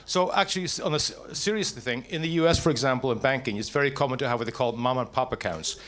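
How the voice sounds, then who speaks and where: Punchy Scottish man pitching live. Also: quick pace, a bit bright. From Scotland. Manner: jokey, punchy, asks and answers own questions. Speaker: startup presenter pitching. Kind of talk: live startup pitch to an audience.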